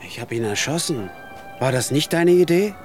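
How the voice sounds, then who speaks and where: A man's voice: a little high, animated, normal speed, faint music behind, natural voice. An actor playing a cool, hard-boiled hitman who narrates in the first person in a radio drama. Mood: cold. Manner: dry, cool, sardonic.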